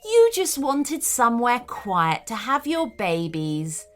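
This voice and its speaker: A woman voicing a regal, gentle Snow Queen in a children's story, speaking in a measured, courteous and proper way. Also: a little high, big pitch swings, faint music behind. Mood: gentle, regretful.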